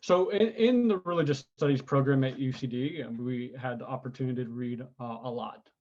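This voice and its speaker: A man in his forties from California, speaking as an interview guest, telling his story in an unhurried, thoughtful way with many ums and restarts. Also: mid-range voice, darkish tone, highs cut off. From United States, California. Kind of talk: conversational interview.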